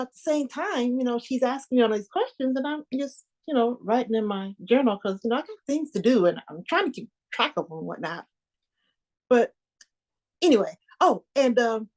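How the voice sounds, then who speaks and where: Woman playing a rambling, uneasy young bride-to-be. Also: fairly high pitch. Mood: chatty. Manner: rambling, hesitant, confiding. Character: anxious young woman, newly engaged, doubting. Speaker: actor performing a monologue. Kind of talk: stage monologue.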